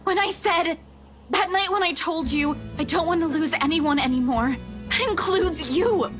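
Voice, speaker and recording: Very high-pitched, impassioned young heroine. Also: female voice, normal speed. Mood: pleading. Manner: impassioned, emphatic. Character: young woman, fiercely caring, frustrated horror-game heroine. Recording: music bed behind the voice.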